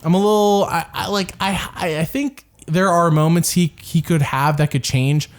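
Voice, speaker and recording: A man's voice: on the high side, fairly even intonation, moderate pace. A man co-hosting a podcast, talking through a reality show in casual chat with restarts and laughs. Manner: rambling, with restarts and frequent laughs. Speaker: podcast co-host.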